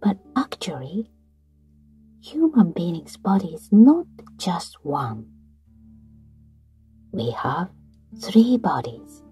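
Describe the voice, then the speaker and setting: A woman guiding a breathing meditation in a slow, soft voice that often drops to a whisper. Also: fairly low pitch, big pitch swings, breathy edge. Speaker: meditation guide. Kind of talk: guided meditation narration. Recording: soft guitar music behind.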